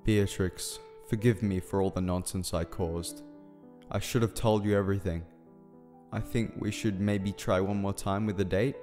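Man acting an earnest, awkward low-voiced scientist. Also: fairly even intonation, moderate pace, mellow, lightly acted. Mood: apologetic. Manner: hesitant, formal, earnest. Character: earnest, awkward male scientist, shyly in love. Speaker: voice actor in a fan radio play. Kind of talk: fan radio drama acting. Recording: reverberant hall sound with music underneath.

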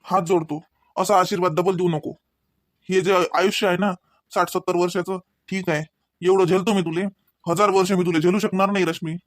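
A man's voice: high voice, level tone, brisk, no echo, natural voice. A man playing an exasperated, sarcastic, work-minded husband in a comedy cartoon, with a Varhadi Marathi accent and a dry, impatient manner. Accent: Varhadi Marathi from Vidarbha.

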